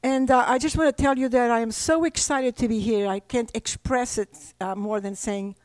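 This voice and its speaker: An older woman from Portugal, a native Portuguese speaker, reading slowly and deliberately from her own book at a library talk. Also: fairly high pitch, fairly even intonation, darkish tone, dry recording. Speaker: author. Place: library presentation room.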